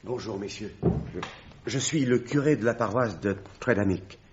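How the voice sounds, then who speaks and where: A male actor plays an apologetic, distressed country priest in a 1950s radio play. He speaks low, formally and haltingly, on a dull vintage recording with faint noise. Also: natural voice. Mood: grave, apologetic. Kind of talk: 1950s French radio drama acting.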